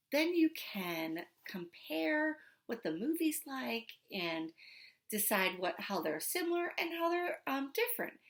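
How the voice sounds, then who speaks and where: A woman reading a children's book aloud like a teacher, with deliberate, emphatic phrasing. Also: sits high. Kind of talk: expressive storybook read-aloud.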